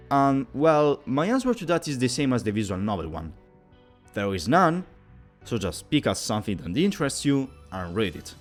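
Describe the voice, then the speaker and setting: Very lively man narrating over music. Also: medium pitch. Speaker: YouTube video essayist and presenter. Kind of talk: scripted explainer narration.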